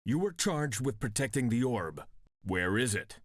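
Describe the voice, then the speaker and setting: A man's voice: medium pitch, sing-song, a little slow, no room sound, clean studio sound. A man playing a brooding exiled warlord in a video game, speaking in a solemn, terse, commanding way. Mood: stern, commanding. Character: Kenji, brooding exiled young warlord of a serpent clan. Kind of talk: dramatic video game cutscene acting.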